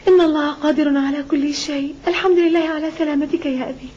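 A devoted young daughter in a cartoon drama, voiced by a woman, very high and mellow. She speaks with tender, earnest pleading. The audio is somewhat dull. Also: steady tone, quick pace, close to an everyday voice. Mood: relieved devotion. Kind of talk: animated drama dub acting.

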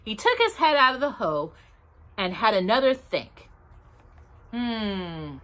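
Middle-aged lady reading a kids' book at home, really animated and dramatic, close to the camera. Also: fairly high pitch, moderate pace. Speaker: storytime reader. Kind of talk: children's storybook read-aloud. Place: home, small room with bookshelves.

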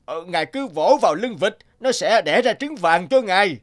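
A man's voice: high voice, animated, normal speed. A man voicing a small, timid, polite horned forest imp in a cartoon. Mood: nervous placating. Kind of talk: cartoon voice acting.

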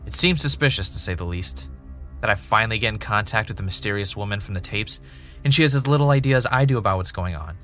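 A man's voice: lowish voice, lively intonation, fast, fairly bright tone, faint music behind. A man playing a driven, uneasy podcaster investigating mysterious tapes, earnest and conversational in an audio drama. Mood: grim, suspicious. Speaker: podcast host.

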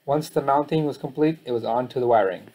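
A man's voice: mid-range voice, quick pace, warm tone, low-quality recording. Matter-of-fact man explaining a DIY build. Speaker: DIY channel host. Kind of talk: casual DIY voice-over walkthrough.

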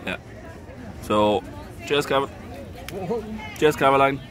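A man in his thirties with a British accent and a hoarse voice, chatting casually to a handheld camera. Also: medium pitch, slow, crowd noise. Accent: British English. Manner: chatty, self-filming at arm's length. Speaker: vlogger. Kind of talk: casual vlog chat. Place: theme park outdoors and hotel room. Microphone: camera at arm's length. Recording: loud background noise.

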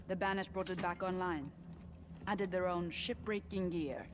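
A woman's voice: on the low side, steady tone, normal speed, background noise, natural voice. A woman playing a serious supersoldier in a video game, giving a restrained, matter-of-fact military report. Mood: sober, reporting. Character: serious, terse female supersoldier reporting to commander. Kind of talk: video game cutscene acting.

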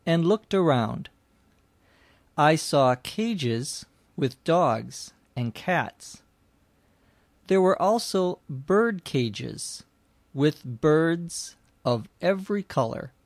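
A man hosting a language-lesson podcast, narrating and explaining from a script in a deliberate, carefully phrased way for learners. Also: a little high, dry recording.

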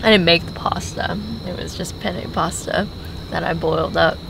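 A woman's voice: lowish voice, steady tone, normal speed. A woman in her twenties chatting casually as a travel vlogger. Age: 20s. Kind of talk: casual vlog chat. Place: small camper van and open beach. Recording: clear background noise, on-location camera audio.

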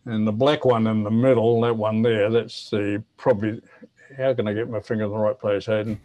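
A man in his seventies with a New Zealand accent, chatting and explaining casually in a halting way with repeated words. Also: on the low side, level tone, a little mellow. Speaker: guest, veteran amateur radio operator. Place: home office, indoors.

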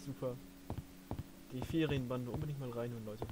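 A man's voice: medium pitch, a little fast, noisy background. A young man giving casual, slangy and crude gaming commentary as he plays. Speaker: let's play host, playing the game. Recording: game music behind the voice. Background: video game music.

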